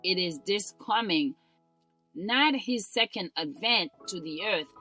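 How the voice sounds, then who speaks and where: Bright-voiced woman reading a solemn devotional. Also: mid-range voice, poor audio. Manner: solemn, deliberate, formal diction. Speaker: devotional reader. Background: instrumental music.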